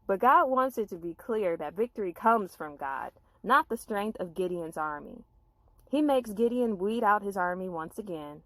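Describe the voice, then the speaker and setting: Expressive woman storyteller, dry sound. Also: medium pitch, moderate pace, no echo. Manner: expressive, with informal reacting asides. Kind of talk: dramatized Bible storytelling.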